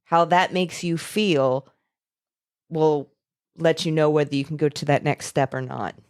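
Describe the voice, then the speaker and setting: Woman co-host, low voice, frank and affirming, dry close sound. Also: steady tone, a little slow, clean tone, dry recording. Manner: frank, affirming with frequent mm-hmms. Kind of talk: casual chat.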